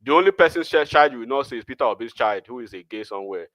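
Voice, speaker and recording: A Nigerian man with a Yoruba accent hosts a loose political chat, circling back and restarting as he talks. His voice sits at an ordinary pitch. The recording is dry and close. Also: animated, very fast, fairly bright tone, dry recording. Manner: rambling, many restarts and fillers.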